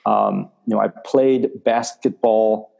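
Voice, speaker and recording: A coach from Germany talks through his past in an interview. His voice sits a little low and sounds warm and dark. He speaks in a measured way, filling pauses with "uh" and "um". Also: fairly even intonation, gravelly, dull audio. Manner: measured, with many "uh" and "um" fillers. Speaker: athletics coach. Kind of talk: interview answers.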